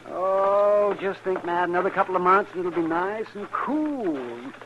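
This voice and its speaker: An actor playing a cheerful, sociable town doctor in a 1950s radio western, chatty and wry. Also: male voice, high voice, lively intonation, a little slow. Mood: jovial. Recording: dull, noisy old radio recording.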